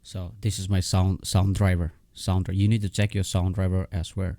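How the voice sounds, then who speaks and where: A man giving a casual, unscripted software tutorial in a halting, repetitive way, often checking in with his listener. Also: deep voice, level tone, no room sound, clean studio sound.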